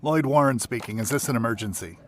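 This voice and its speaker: A man's voice: medium pitch, quick pace, lightly acted. Man playing curt, weary security guard, noisy recording. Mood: curt, official. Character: bitter, guarded hospital security contractor, reluctant old friend. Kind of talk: audio drama dialogue.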